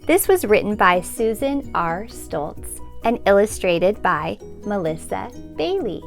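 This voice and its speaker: A woman's voice: mid-range voice, big pitch swings, takes it slowly, vocal fry, faint music behind. A woman presenting a read-aloud for children as a storytime host.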